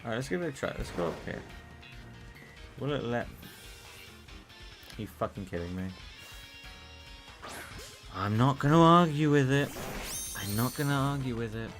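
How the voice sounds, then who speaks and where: A British man streaming a game, chatting casually in a rambling, profane way that he calls monotone. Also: medium pitch, measured pace, pauses often, faint music behind. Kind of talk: casual live-stream chat while gaming.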